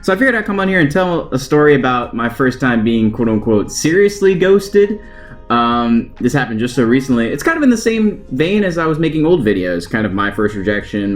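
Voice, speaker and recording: A young American man with a highly animated, mid-pitched voice talks casually, as if recounting a personal story to viewers. His phrasing is colloquial and self-deprecating, with a joking edge. Guitar music plays behind him. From United States. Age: young adult. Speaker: vlogger telling personal stories.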